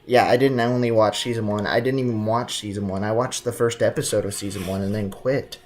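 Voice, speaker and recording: A man's voice: lowish voice, steady tone, fairly quick. Casual, slangy young male game streamer. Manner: slangy, playful, banters with viewers. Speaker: video game live streamer. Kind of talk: casual chat while gaming. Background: game music.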